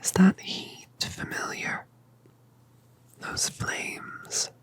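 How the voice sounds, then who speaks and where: A woman voicing a colossal, tender mother dragoness in a whispered ASMR roleplay, speaking softly and soothingly. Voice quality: breathy whisper. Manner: soft, slow, soothing.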